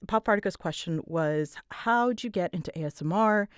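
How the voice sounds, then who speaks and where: Animated, rambling woman, dry close sound. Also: lowish voice, normal speed, clean tone, no room sound. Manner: animated, dramatic, voice swoops up and down; scattered, self-deprecating, giggly. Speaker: ASMR audio creator and voice performer. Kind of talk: casual rambling Q&A chat. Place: small closet used for recording.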